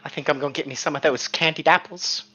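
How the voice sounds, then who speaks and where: Tabletop game role: excitable street kid voiced by a man, lifted pitch, rambling, earnest and gullible. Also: fast talker, husky, highs cut off, soft background music. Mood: eager. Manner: rambling, repeating himself eagerly. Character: excitable, gullible street boy spreading spooky rumours.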